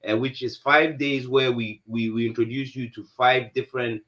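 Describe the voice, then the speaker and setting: A man from Ghana co-hosting a live Q&A stream, answering viewers softly and haltingly with many fillers. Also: medium pitch, fairly even intonation, dull audio. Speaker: co-host.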